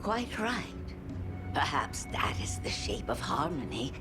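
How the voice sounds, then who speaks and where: Slow, stately village spokeswoman. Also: low voice, big pitch swings. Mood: grateful, solemn. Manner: formal, archaic, stately. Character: formal, gracious female village spokesperson. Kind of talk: video game character acting. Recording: loud music behind the voice.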